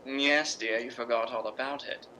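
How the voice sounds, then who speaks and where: A man's voice: mid-range voice, fairly even intonation, moderate pace, sharp tone, a little hiss or hum, natural voice, rough recording. A man playing an imperious middle-aged stage actress in a 1950s radio drama, theatrical and arch, with sarcastic politeness. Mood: icily polite.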